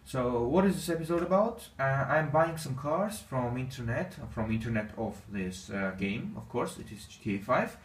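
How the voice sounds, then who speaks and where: A man whose first language is Armenian, giving casual commentary on his gameplay in halting English with many fillers. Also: medium pitch, expressive. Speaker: gaming channel host. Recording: echoey room, distant mic, faint noise.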